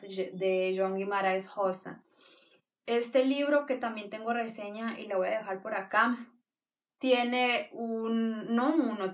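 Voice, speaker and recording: A woman's voice: fairly high pitch, a bit bright, far from the mic. A woman giving casual book recommendations, enthusiastic and rambling, often restarting her sentences. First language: Spanish. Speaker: book vlogger. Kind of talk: casual book review talk.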